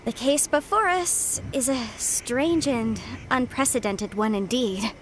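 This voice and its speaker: A formal woman of the law in a video game. Her high voice moves expressively through deliberate pronouncements. There is faint background noise. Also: expressive, low-quality recording. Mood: judicious, earnest.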